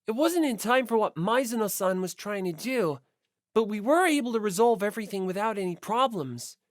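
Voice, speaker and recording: Animated woman narrating an audiobook. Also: medium pitch, fairly quick, no room sound, clean studio sound. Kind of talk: expressive first-person story narration.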